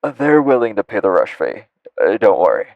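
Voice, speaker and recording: A man's voice: on the low side, huge pitch swings, fairly quick, creaky, dull audio, a little hiss or hum, full character voice. A man acting as a polite, hesitant, apologetic assistant making a business call in an audio roleplay. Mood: awkward, courteous.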